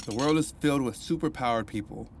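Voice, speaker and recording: An actor playing a cheerful male counselor who talks in an animated, rambling way. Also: mid-range voice, fast talker, a little hiss or hum, lightly acted. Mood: cheery. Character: cheerful male rehab counselor, upbeat and chatty.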